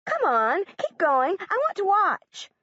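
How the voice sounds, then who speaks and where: A woman's voice: extremely high pitch, huge pitch swings, slow, bright, forceful tone, no room sound, muffled recording, pitched above the performer's own voice, exaggerated voice. A woman voicing a pushy, eager young schoolgirl who keeps pleading to see a drawing. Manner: pleading, insistent.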